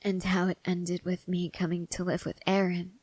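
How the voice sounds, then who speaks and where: A woman playing a haunted, restless young woman soldier in an intimate ASMR character roleplay, speaking haltingly and broodingly with pauses. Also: fairly low pitch, monotone, darkish tone, dry recording, lightly acted. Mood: wistful. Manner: slow, halting, brooding delivery with long pauses.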